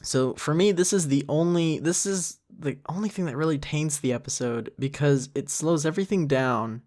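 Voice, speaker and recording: A man's voice: fairly high pitch, normal speed, a little mellow. Man giving rambling, casual commentary. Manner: rambling, self-deprecating. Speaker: series creator.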